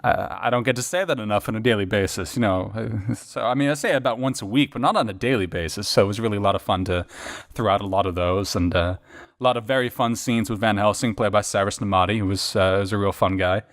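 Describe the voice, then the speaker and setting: A man's voice: on the low side, quick pace. A man who works as a voice actor and editor on a podcast, chatting casually and reflectively in a rambling storyteller's way. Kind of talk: casual reflective chat about acting work.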